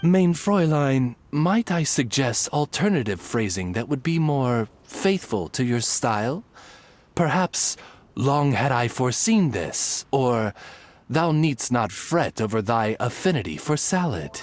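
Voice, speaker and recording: A man's voice: medium pitch, big pitch swings, unhurried. A man voicing a courtly, deferential familiar in a video game, speaking formally and eloquently. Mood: deferential advising. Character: courtly, formal male familiar, devoted gentlemanly servant. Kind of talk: animated video game acting.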